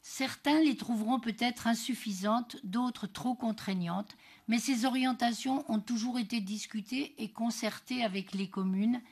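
Higher-pitched woman giving a formal political speech. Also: measured pace, husky. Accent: French from France. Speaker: elected metropolitan councillor, group co-president. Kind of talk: formal political speech, read from prepared text.

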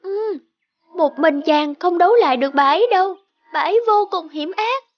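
A woman voicing a kind, brave young peasant girl in a cartoon fairy tale, earnest and gentle. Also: extremely high pitch, lively intonation, moderate pace, highs cut off.

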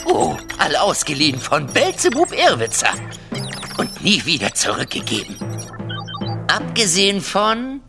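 An actor playing a clever, urgent male raven with a brisk, exasperated manner in a children's radio play. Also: medium pitch, wildly swooping pitch, gravelly, exaggerated voice. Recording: music behind.

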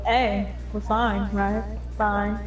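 A woman streaming a racing game with friends, shouting, laughing and teasing her teammates. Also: medium pitch, level tone, drawn-out words. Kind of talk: excited gameplay chat with teammates. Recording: game music playing behind.

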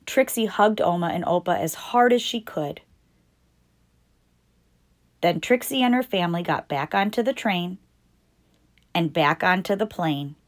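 A woman reading a picture book aloud as a storytime host, deliberate and expressive for young children. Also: on the low side. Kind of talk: scripted picture-book narration read aloud.

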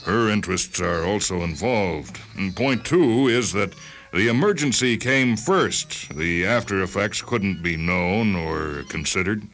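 An actor playing a reasonable, measured lawyer in a radio drama, deliberate and formal. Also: male voice, low voice, animated, fairly bright tone, heavily acted. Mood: reasoned.

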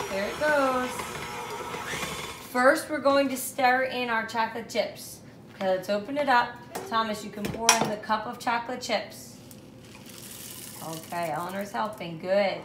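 Echoey noisy room, woman, higher voice, encouraging mom talking kids through instructions. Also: normal speed, long pauses. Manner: encouraging, step-by-step directing with frequent check-in questions. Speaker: mother baking with her children. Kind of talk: casual instructional chat with young children. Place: home kitchen or dining room, indoors. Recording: echoey room with clear background noise.

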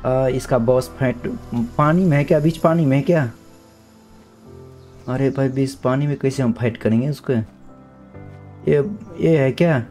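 A young man with a sore throat giving chatty, slangy let's-play commentary, now and then shouting at the game characters. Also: mid-range voice, a little slow, pauses often, soft background music. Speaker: gaming YouTuber.

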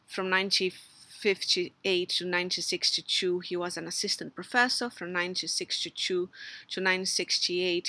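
A woman's voice: fairly low pitch, bright, forceful tone, no room sound. A middle-aged woman with a Brazilian Portuguese accent, a logician giving a recorded memorial tribute, partly read, hesitant, with many fillers and restarts. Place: outdoors under a patio umbrella in a garden.

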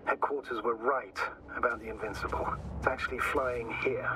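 An actor playing a measured, concerned astrogator guiding a crewmate over a radio link in a video game. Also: male voice, mid-range voice, steady tone, background noise. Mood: serious, urgent. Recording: distant radio sound, music behind.

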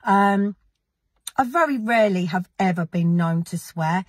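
Woman vlogger, gravelly post-illness voice, husky tone, indignant and emphatic. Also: medium pitch, lively intonation, measured pace, no echo, studio quality. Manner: indignant, emphatic, addressing critics directly. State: gravelly, recovering from illness, with a cough. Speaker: activist vlogger. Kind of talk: direct-to-camera vlog.

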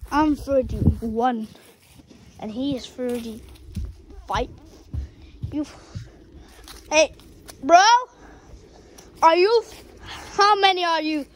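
Woman vlogger, high voice, slow unhurried delivery, playful and rambling, noisy outdoor audio. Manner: playful, rambling, stumbles over words. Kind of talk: casual vlog chat.

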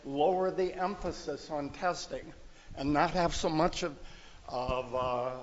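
Slow, loud man speaking without a mic. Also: a little high. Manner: loud, unamplified, hesitant with many uhs. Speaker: audience member commenting. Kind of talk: audience comment at a panel. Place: large conference hall, standing in audience. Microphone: no microphone, room pickup. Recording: distant, echoey hall, dull, faint noise.

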